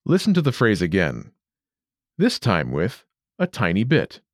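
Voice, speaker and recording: A man narrating a scripted language lesson, giving clear, measured instructions. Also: on the low side, huge pitch swings, no room sound, clean studio sound. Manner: clear, measured teacher delivery.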